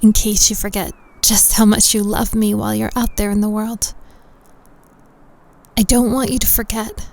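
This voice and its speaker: A woman's voice: mid-range voice, little pitch movement, moderate pace, gravelly, darkish tone, close to an everyday voice. A woman playing a possessive, lovesick witch in a roleplay audio drama, with a cooing, domineering, menacing delivery.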